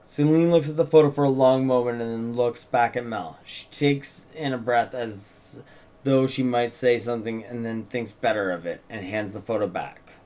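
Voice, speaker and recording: A man's voice: mid-range voice, normal speed, a little mellow. A man narrating stage directions at a table read, a writer and co-host who comments casually on the script.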